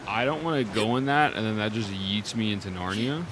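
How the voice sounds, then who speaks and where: A man's voice: fairly low pitch, a little fast, background noise. A young man giving casual, slangy reactions as he streams a game, muttering asides. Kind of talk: casual gameplay commentary. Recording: game music under the voice. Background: video game music.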